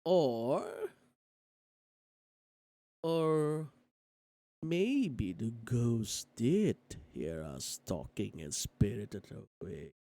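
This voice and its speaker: A woman's voice: a bass voice, sing-song, drawn-out words, long pauses, mellow. A woman reading a game aloud on a stream, theatrical, with casual asides and exclamations. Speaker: streamer playing a visual novel.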